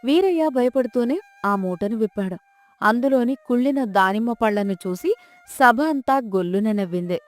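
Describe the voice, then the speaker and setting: A woman's voice: on the high side, animated, normal speed, no room sound, faint music behind. A woman narrating a scripted folk tale as its storyteller.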